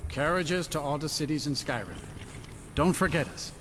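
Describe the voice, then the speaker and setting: A man's voice: on the high side, moderate pace, a bit bright, a little hiss or hum, lightly acted. An actor playing a male carriage driver in a video game, calling out in a sing-song way.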